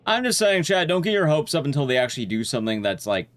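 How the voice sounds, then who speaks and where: A man's voice: a little high, sing-song, fast talker. A man livestreaming a video game, giving casual gameplay commentary while chatting with his viewers.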